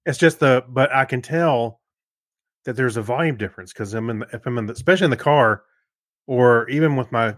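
A man co-hosting a podcast in casual, somewhat halting conversation. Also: mid-range voice, no room sound, clean studio sound. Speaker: podcast co-host. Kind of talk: casual chat.

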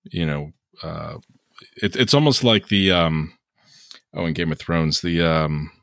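A man co-hosting a casual chat, relaxed and joking, with hesitations. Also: extremely low pitch, measured pace, gravelly, dry recording, clean studio sound.

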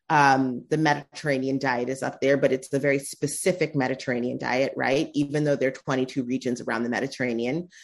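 Low-voiced woman guest, animated. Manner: tags points with "right?" and "you know"; animated, pitch jumps when quoting others. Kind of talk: conversational interview.

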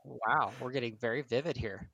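A man's voice: mid-range voice, moderate pace, creaky, fairly bright tone, dry recording, muffled recording. A man bantering casually as a podcast co-host, riffing playfully.

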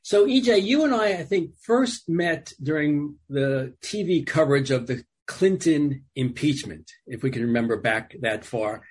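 A man's voice: on the high side, expressive, a little slow, few pauses, husky. A man hosting an interview, asking questions in a friendly, reminiscing way. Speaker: host, interviewer. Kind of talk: conversational interview.

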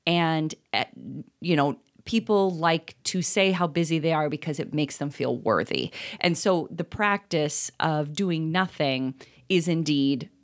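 A woman co-hosting a parenting podcast, talking in a thoughtful, rambling way with many pauses and ums. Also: sits low. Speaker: podcast co-host. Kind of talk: casual chat.

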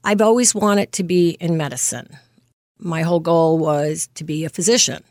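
A woman in her fifties from Greece, a nurse practitioner telling her story as an interview guest, earnest and quick to laugh. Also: deep voice, darkish tone, no echo, studio quality. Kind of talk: casual interview.

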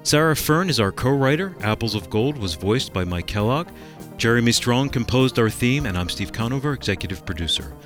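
A man's voice: mid-range voice, lively intonation, a little fast, fairly bright tone. Male announcer, mid-range voice, scripted radio reads with a light music bed. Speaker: radio announcer and executive producer. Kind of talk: scripted radio show outro.

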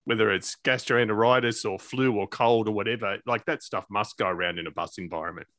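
A man's voice: on the low side, brisk, a bit bright. A male podcast co-host asking questions eagerly in a casual interview.

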